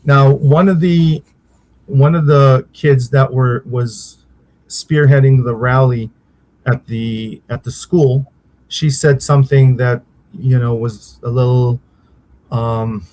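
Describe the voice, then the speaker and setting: Man, Hebrew speaker, halting, searching for words, mid-pitched voice, faint background hum. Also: fairly even intonation, darkish tone, low-quality recording. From Israel. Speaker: interview guest, uncle of a victim. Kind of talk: conversational interview answers.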